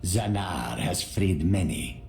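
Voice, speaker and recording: An actor playing a proud, menacing old assassin in a video game, haughtily speaking of himself in the third person. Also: male voice, low-pitched, lively intonation, slow, gravelly, a little mellow, full character voice. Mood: scornful, brooding. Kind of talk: video game character acting. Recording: roomy sound with faint music.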